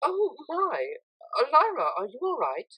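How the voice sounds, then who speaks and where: Woman voicing a refined, lively young mare cellist. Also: sits high, takes it slowly, highs cut off, pitched above the performer's own voice, exaggerated voice. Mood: concerned. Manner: formal, precise, proper wording.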